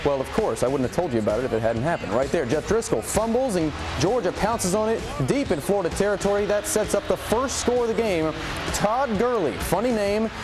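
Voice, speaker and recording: A man anchoring TV sports highlights in a punchy, conversational style full of rhetorical questions. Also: lively intonation, a little fast, over music, low-quality recording.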